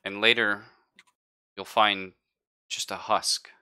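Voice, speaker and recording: Man voicing a guarded, measured female android. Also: fairly low pitch, flat delivery, bright, forceful tone, smooth, heavily acted. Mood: grave, clinical. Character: guarded female ship android, formal and halting.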